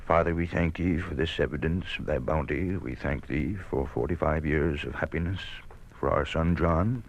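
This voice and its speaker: Deep-voiced, flustered old farmer. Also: male voice, moderate pace, gravelly, dry recording, heavily acted. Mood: solemn. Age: older. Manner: hesitant, stammering, homespun. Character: kindly, flustered elderly farmer, family father. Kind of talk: 1950s radio drama acting.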